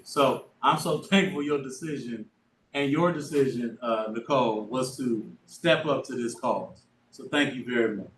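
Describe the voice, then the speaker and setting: A man speaking as a school superintendent at a board meeting, casual and folksy in manner. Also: mid-range voice. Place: meeting room. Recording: echoey room, distant mic, faint noise.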